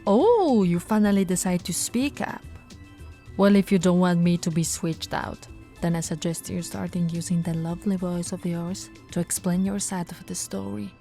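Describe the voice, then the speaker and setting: A woman's voice: lowish voice, moderate pace, warm tone, faint music behind, lightly acted. A woman voicing a flirty but firm policewoman in an ASMR roleplay, with a teasing, coaxing, authoritative manner. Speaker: voice actor in roleplay audio.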